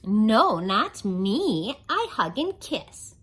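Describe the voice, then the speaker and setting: Protesting small child, rhyming singsong, bright and a bit husky, woman performing. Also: medium pitch, big pitch swings, drawn-out words, voice pushed up, heavily acted. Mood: indignant. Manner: sing-song rhyming, protesting. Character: indignant little child in a timeout chair, insisting on innocence.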